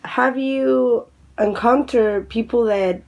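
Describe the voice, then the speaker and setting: A woman interviewing a teenager, asking measured questions and rephrasing them as she goes. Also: fairly high pitch, gravelly, a little mellow, lo-fi sound.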